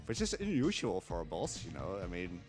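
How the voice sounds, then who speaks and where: A man giving casual live speedrun commentary, explaining haltingly with dry humour. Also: deep voice, huge pitch swings, darkish tone, faint music behind. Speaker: speedrunner at a gaming marathon.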